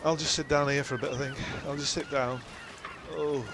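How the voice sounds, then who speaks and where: A man's voice: fairly high pitch, flat delivery, a little fast, noisy background, close to an everyday voice. A man playing a mocking, sweary castaway in a comedy audio drama. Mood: dazed, groaning. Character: mocking, sweary castaway John, dazed after knock.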